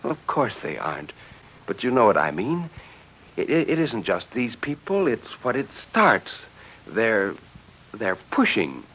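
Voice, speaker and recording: A man voicing a poised, genteel suburban wife who coaxes softly and hesitantly, in 1940s radio drama style. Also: lowish voice, very animated, measured pace, slight background noise, exaggerated voice. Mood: defensive, coaxing. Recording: dull vintage 1940s radio recording.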